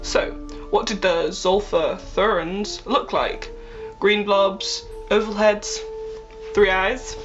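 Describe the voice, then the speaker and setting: A woman's voice: medium pitch, steady tone, takes it slowly, highs cut off, soft background music, lightly acted. A woman playing a sassy, sceptical and curious travelling companion in an audio drama.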